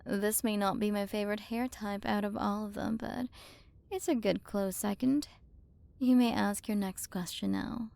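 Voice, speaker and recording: A woman playing a haughty, curious alien galaxy queen in an ASMR roleplay, soft and condescending, possessive of her human. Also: mid-range voice, steady tone, moderate pace, vocal fry, close to an everyday voice. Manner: soft, condescending, teasing, at times whispered.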